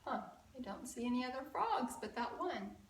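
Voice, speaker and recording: A woman reading a picture book aloud to children in an expressive, engaging way. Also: high voice, normal speed, slight background noise. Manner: expressive, asks the young viewers questions. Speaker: children's storyteller at a church story time. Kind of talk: animated read-aloud for children. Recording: roomy, from a distant mic.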